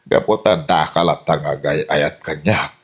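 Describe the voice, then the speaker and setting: A man's voice: very deep voice, a little slow, slightly rough, heavily acted. A man playing a weak, doting old sugar daddy in a comedy radio drama. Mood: feeble, tender. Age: older.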